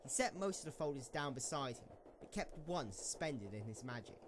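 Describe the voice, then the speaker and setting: A man's voice: mid-range voice, animated, moderate pace. A man narrating a scripted story as part of an amateur dramatic reading. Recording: slightly noisy amateur microphone recording.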